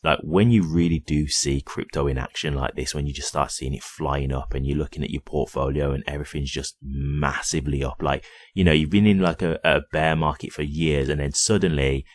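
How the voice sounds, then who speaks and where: A man's voice: very deep voice, steady tone, a little fast, dry recording, studio quality. A man giving casual, unscripted commentary straight to his viewers, conversational and often checking in with a questioning tag. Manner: conversational, repeatedly checks in with "right?". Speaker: crypto YouTuber.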